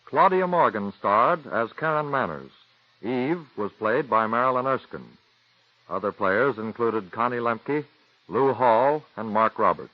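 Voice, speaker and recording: A man announcing formally for a radio network from a studio, crisp and measured. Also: medium pitch, expressive, no echo. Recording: dry, dull 1940s radio broadcast.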